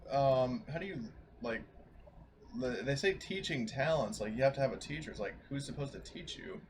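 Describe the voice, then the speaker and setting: A man's voice: mid-range voice, normal speed, slight background noise. A man chatting casually as a player in a tabletop roleplaying game. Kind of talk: casual chat.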